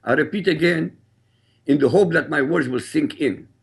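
A middle-aged man from Iraq, Arabic his first language, speaks in a studio with a rough, gravelly voice that turns breathy at the edges. His delivery is deliberate and emphatic, building each point like a prepared speech. His voice sits a little high for a man. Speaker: guest author.

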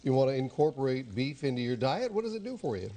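A man's voice: mid-range voice, very animated, quick pace, mellow, slight background noise. A middle-aged man, hosting a TV cooking segment in a studio kitchen and chatting in a folksy way with his guest. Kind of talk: friendly local TV cooking chat.

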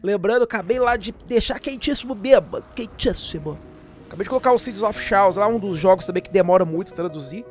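A man's voice: high voice, quick pace. Brazilian male gaming host, chatty and informal, jokey slang, voice-over with music underneath. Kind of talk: casual, slangy game update voice-over.

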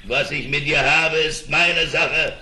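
A man's voice: fairly high pitch, fairly even intonation, takes it slowly, bright, forceful tone, reverberant, heavily acted. An actor playing a cruel, defiant sorcerer in a fairy-tale radio play, declaiming his words. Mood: defiant, menacing.

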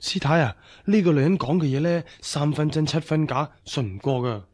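韋小寶，聲線偏高嘅鬼馬小滑頭，男演員演繹. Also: male voice, a little high, a little fast, natural voice. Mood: sly, eager. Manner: excitable, sly, colloquial. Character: cheeky, greedy, crafty young rascal. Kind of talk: Cantonese radio drama acting.

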